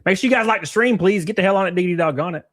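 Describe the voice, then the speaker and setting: A man's voice: sits high, racing, a bit bright, dry recording. Blunt, rambling male streamer. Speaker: streamer host. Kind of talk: casual reaction commentary.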